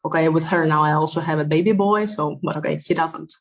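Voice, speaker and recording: Woman with a Belarusian accent, fairly low voice, Russian native speaker, chatty interview guest, lots of fillers. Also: fast. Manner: animated storytelling with frequent fillers and laughs. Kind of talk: conversational interview.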